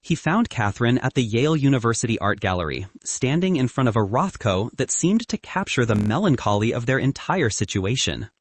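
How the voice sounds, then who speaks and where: Husky male storyteller, dry close sound. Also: mid-range voice, very animated, a little fast, hardly any pauses, dry recording. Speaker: audiobook narrator. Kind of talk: scripted narration of a romance story.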